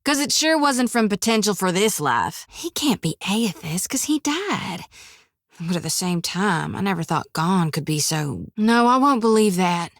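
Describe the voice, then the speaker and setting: A folksy, devout young priestess, rural drawl with "reckon" and "ain't", middling pitch, hushed whispers at times, dry booth sound. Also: female voice, big pitch swings, measured pace, no room sound. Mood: folksy, friendly. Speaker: companion character in a fantasy role-playing game. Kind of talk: video game voice acting.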